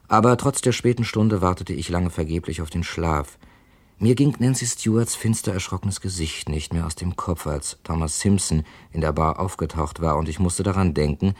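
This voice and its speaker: An actor playing a wry writer who narrates his own murder mystery in a measured German radio drama style. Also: low voice, no echo. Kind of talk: 1950s-80s German crime radio drama acting.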